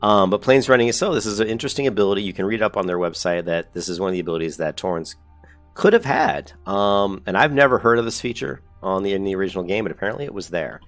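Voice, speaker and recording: A man's voice: on the low side, animated, fast talker, soft background music. A man with a cold and a congested voice, hosting a casual gaming video and reading features off a website in a rambling, self-correcting, upbeat way.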